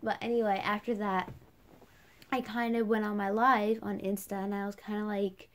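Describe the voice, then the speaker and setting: A woman's voice: mid-range voice, level tone, moderate pace. A young woman vlogging in a casual, chatty way, rambling through a personal rant with lots of "like" as a filler. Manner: chatty, rambling, heavy use of "like".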